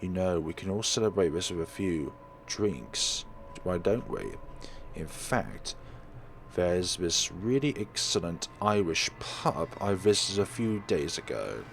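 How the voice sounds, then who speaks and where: A man's voice: deep voice, lively intonation, slow, gravelly, soft background music, exaggerated voice. A man playing a flippant, flirtatious rich husband in a horror visual novel, teasing and familiar in manner.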